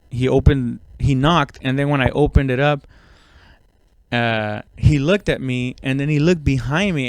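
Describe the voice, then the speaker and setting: A man's voice: mid-range voice, clean tone, no echo. A man telling casual, comedic stories as a podcast host, rambling along in slangy, profane talk.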